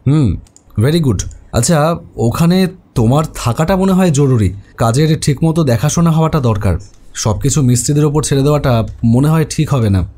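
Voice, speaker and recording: A man plays an authoritative but considerate boss who narrates a horror cartoon. His voice is mellow and assured, with a directing manner. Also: on the high side, animated, moderate pace. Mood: businesslike. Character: male house buyer and narrator, brisk, authoritative, considerate boss.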